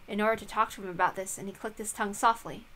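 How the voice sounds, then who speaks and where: A woman's voice: medium pitch, steady tone, rapid-fire, a bit bright, low-quality recording. A woman reading a visual novel aloud as scripted narration.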